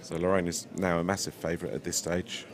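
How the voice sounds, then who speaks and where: A man calls a pool match in a brisk, explanatory way. His voice is very deep, and he talks fast from one shot to the next. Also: flat delivery. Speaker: lead commentator. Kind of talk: live pool commentary.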